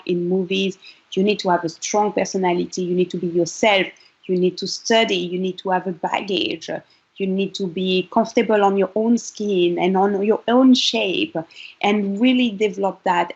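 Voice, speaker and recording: A woman's voice: mid-range voice, muffled recording. A woman from Congo, a model and charity founder, speaking earnestly in a casual interview with frequent restarts.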